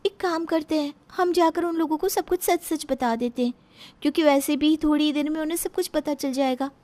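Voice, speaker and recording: A woman's voice: sits high, a little fast, a little mellow, voice pushed up, natural voice. A woman voicing an anxious, apologetic young adult daughter in a cartoon story.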